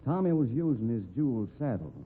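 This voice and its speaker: This is a dry, unhurried cowboy sleuth. His mid-range drawl stays level as he pokes at each suspect. The audio is narrow and tinny, like an old broadcast. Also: mid-range voice, lively intonation, slightly rough. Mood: explaining. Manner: laconic, dry, probing. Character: calm, dry rodeo manager investigating a murder. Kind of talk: 1940s radio acting.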